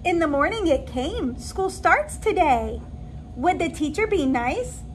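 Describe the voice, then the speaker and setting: A woman in her forties, a kindergarten teacher, reading a storybook aloud to young children in an expressive, animated way. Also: very high-pitched, a little slow, slight background noise. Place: indoor classroom.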